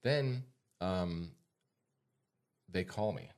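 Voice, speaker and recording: A man's voice: sits low, animated, extremely slow, a little mellow, clear, resonant tone. An American man hosting a podcast as a financial advisor, talking informally and repeating points for emphasis. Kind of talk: casual podcast talk.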